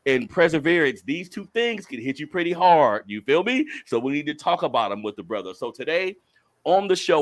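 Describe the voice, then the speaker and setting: A young man hosting a podcast, hyping up his listeners with slangy, energetic talk before interviewing a guest. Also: mid-range voice. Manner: hyped, calls listeners family, slangy. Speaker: podcast host.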